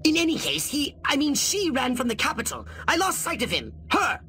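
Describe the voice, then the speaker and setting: A woman playing a high-strung, skittish informant in a video game, flustered and exasperated. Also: huge pitch swings, brisk, sharp tone, a little hiss or hum, exaggerated voice.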